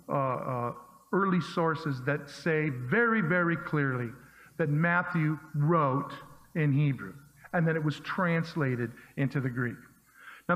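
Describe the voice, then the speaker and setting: A man preaching a sermon as pastor, talking to his congregation in a conversational, emphatic way and firing off punchy rhetorical questions. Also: on the high side. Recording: dull lo-fi sound with long hall reverb.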